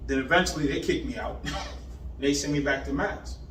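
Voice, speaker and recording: A man in his fifties from Boston, telling his life story in animated street slang, rattling off places. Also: on the high side, moderate pace. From Boston, Massachusetts. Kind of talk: casual storytelling interview. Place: indoor room on location. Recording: echoey room, far mic, faint background hiss.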